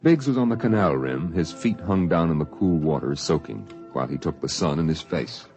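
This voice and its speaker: An actor narrating a 1950s science-fiction radio play in a solemn, measured, dramatic style. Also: male voice, very deep voice, expressive, darkish tone. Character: solemn omniscient storyteller of a science-fiction tale. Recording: dull vintage 1950s radio recording. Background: faint music.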